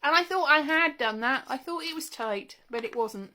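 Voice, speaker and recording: A woman with a high-pitched, bright voice speaks in an informal vlog monologue. She is chatty and wry, wandering from one thought to the next and cutting herself off as she goes. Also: animated, normal speed. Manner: chatty, wry, self-interrupting. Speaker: vlogger showing old interview tapes. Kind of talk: casual rambling monologue to camera.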